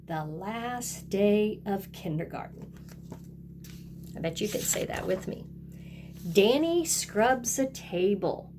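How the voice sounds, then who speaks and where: A woman's voice: mid-range voice, noisy background. A woman kindergarten teacher reading a picture book aloud and talking directly to young children in a sing-song way.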